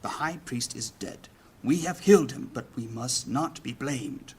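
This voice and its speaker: Guild master male actor, medium-pitched, commanding, deliberate and formal, for fantasy audio drama. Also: breathy edge. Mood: grave, commanding. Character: commanding male leader of a mage guild, firm and weighty.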